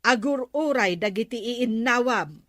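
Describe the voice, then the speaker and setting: A woman's voice: on the high side, lively intonation, measured pace, fairly bright tone, no echo, clean studio sound. A woman playing an exasperated, nagging wife who scolds and demands in a comedy audio drama. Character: nagging, exasperated wife ordering husband around the house. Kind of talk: comedy radio drama acting.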